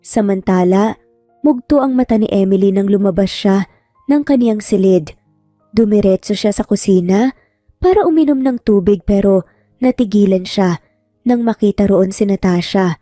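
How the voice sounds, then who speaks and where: A woman's voice: medium pitch, moderate pace, dark tone, no room sound, clean studio sound. A woman reading a scripted romantic drama as a storyteller. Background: music.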